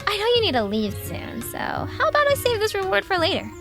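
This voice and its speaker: A woman voicing a young, earnest but cunning fox in an audio drama, excitable and argumentative. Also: extremely high pitch, brisk, over music, full character voice. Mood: triumphant, persuasive. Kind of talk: animated audio drama acting.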